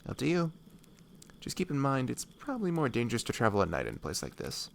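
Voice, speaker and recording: A man voicing a laid-back, blunt but kindly woodsman, casual and unhurried, in a fantasy audio roleplay. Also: mid-range voice, huge pitch swings, vocal fry, slight background noise. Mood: reassuring. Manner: casual, unhurried, matter-of-fact.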